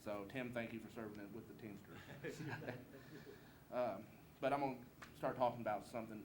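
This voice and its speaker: A man from southeast Missouri with a Southern American twang, giving a campaign speech as a political candidate. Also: fairly low pitch. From Southeast Missouri, United States. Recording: noisy live event room recording.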